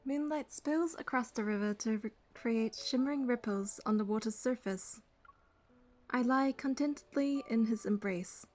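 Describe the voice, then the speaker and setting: A woman's voice: on the high side, moderate pace, darkish tone, lightly acted. A woman voicing a young romance game heroine, narrating and speaking in a composed, scripted way. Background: music.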